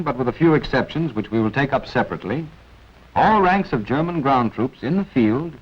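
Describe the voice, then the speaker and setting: An American man narrating a 1940s military training film in an instructive, wry manner with deliberate emphasis. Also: mid-range voice, muffled recording. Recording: band-limited 1940s film soundtrack.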